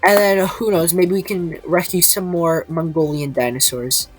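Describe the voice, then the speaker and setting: A woman's voice: fairly low pitch, fairly even intonation, moderate pace, poor audio. A woman with a stuffy, slightly nasal voice gives hesitant, casual commentary over her gameplay, with many ums. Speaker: gameplay video creator. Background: faint background music.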